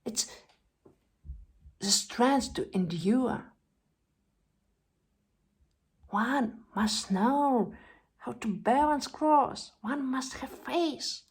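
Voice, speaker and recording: A woman playing a distraught, exhausted young actress in a theatrical stage monologue, halting and repeating herself, breathy and shifting between hushed and loud delivery. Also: a little high. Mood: resolute.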